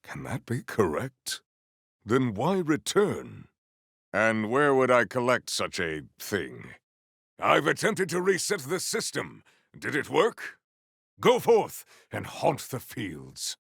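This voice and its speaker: A man voicing a stoic, honourable warrior in a video game, speaking in formal, stately phrases with a gravelly voice. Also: medium pitch, huge pitch swings, a bit bright, no room sound, studio quality, heavily acted. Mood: solemn. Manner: formal, stately, measured diction.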